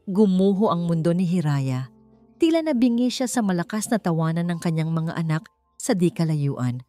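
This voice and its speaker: A woman with a slightly low voice narrates a romance drama as scripted storytelling. Faint music plays behind her. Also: very animated, normal speed, dark tone.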